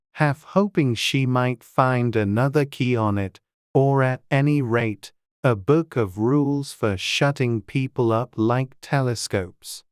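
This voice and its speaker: A man's voice: mid-range voice, smooth, no room sound, clean studio sound. A man reading scripted storybook narration as an audiobook narrator.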